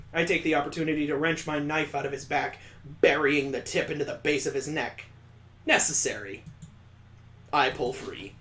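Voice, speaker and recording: A man's voice: fairly high pitch, a bit bright, reverberant. A man reading a visual novel aloud, mixing dramatic narration with sarcastic comic asides. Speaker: let's play host.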